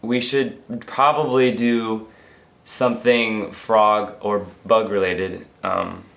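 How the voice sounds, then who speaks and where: Hoarse, tired young man, echoey room. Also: lowish voice, flat delivery, slow, a bit bright. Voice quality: rambling, self-interrupting. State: hoarse, losing his voice from illness; tired after a long work shift. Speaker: vlogger. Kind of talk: casual daily vlog.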